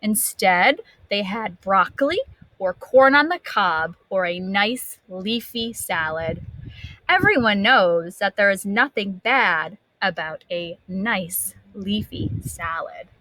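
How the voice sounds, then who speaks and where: A woman reads a children's story aloud like a kindergarten teacher. She takes her time, stresses words dramatically and asks the listeners questions. The sound is dry, with a medium-pitched voice. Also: no room sound, low-quality recording. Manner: expressive, dramatic gasps and emphatic drawn-out words.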